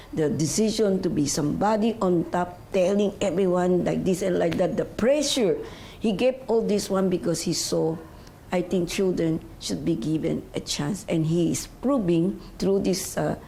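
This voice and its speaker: A woman's voice: fairly low pitch, creaky, mellow, a little hiss or hum. A middle-aged woman hosting a television interview, effusive, with loose grammar and frequent "you know". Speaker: talk show host.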